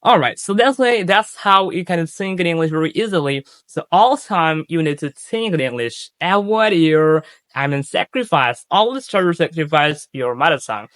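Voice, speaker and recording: Earnest young Bangladeshi-accented man, Bengali native, coaching English in a vlog; fairly high voice, dry close sound, restarts and repeats himself. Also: expressive, moderate pace, a bit bright, no echo. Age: young adult. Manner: earnest, repetitive, often restarting and correcting himself. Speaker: English-speaking coach, channel host. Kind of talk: casual tips vlog.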